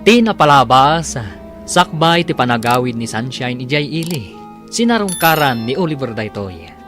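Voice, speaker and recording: A man with an Ilocano Filipino accent reading scripted narration as the announcer of a radio drama. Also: mid-range voice.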